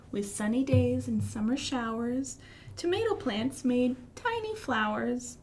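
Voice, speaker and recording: An American woman leading a storytime for young children in an expressive, sing-song way, with gasps and hushed emphasis. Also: a little high, slow, a little hiss or hum. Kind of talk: children's storytime with singing, rhymes and asides.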